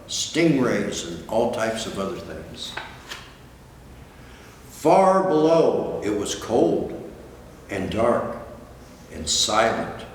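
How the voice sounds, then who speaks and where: Older man reading aloud, very echoey hall. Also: lowish voice. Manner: expository, points out pictures to listeners. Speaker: guest storybook reader. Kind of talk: picture-book read-aloud with explanatory asides. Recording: distant, very echoey hall, faint noise.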